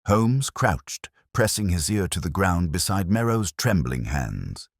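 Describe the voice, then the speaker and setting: Male narrator for mystery fiction: extremely low, husky voice, expressive swings in pitch, dry close sound. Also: unhurried, no room sound. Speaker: audiobook-style narrator. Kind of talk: scripted first-person mystery story narration.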